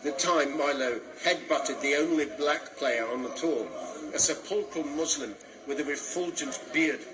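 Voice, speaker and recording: A man's voice: on the high side, noisy background. A man reading a literary short story aloud. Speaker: author reading own story.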